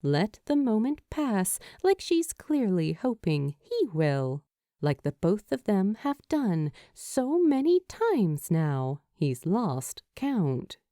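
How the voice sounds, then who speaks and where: A woman reading a story aloud as an expressive scripted narration. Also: medium pitch, no room sound, studio quality. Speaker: fan fiction narrator.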